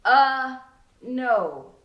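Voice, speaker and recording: A woman's voice: high voice, expressive, extremely slow, dull audio, pitched above the performer's own voice, heavily acted. A woman voicing an embarrassed thirteen-year-old girl, defensive and faltering as she bluffs, in a story reading. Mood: nervous bluffing. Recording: roomy indoor echo.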